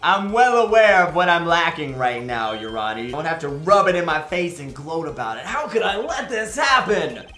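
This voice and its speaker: A man's voice: a little high, very animated, moderate pace, hardly any pauses, sharp tone, echoey room, soft background music. A man playing a cocky, melodramatic young defense lawyer in a comedic game fandub, wisecracking and slangy. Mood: dejected.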